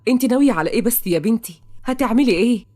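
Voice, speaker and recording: A worried Egyptian mother who asks her daughter anxious, coaxing questions, as a woman storyteller voices her. She speaks quickly and stays gentle. It sounds like a spoken audio story. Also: on the high side, lively intonation. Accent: Egyptian Arabic.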